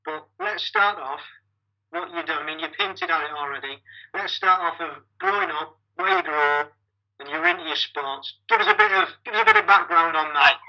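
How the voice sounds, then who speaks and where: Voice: mid-range voice, big pitch swings, normal speed, gravelly, sharp tone. A podcast host asking interview questions in a conversational way and restarting phrases. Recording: dull, lo-fi sound.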